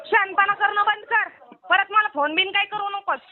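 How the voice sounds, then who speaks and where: A woman's voice: very high voice, moderate pace. A woman with a rural Maharashtrian Marathi accent, arguing heatedly on the phone in a scolding, taunting, challenging way. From Maharashtra, India. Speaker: caller in a phone quarrel. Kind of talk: heated informal phone argument. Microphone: phone line. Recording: tinny narrowband phone call recording.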